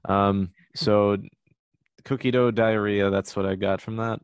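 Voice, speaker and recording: A man's voice: fairly low pitch, fairly even intonation, unhurried, smooth, no room sound. A man co-hosting a podcast in casual chat, making wry, teasing remarks. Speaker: podcast co-host.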